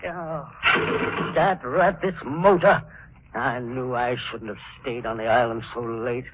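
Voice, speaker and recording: A man's voice: mid-range voice, takes it slowly, natural voice. An actor playing a grumbling, jittery villain's henchman in 1940s radio drama style. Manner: grumbling, excitable. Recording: dull, hissy 1940s radio recording.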